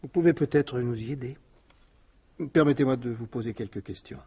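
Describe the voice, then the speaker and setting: A polite careful inspector in a radio mystery, mellow husky voice, hesitant questions. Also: male voice, medium pitch, fairly quick. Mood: probing, tactful. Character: tactful, probing male police inspector. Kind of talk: 1950s-style French radio detective drama acting.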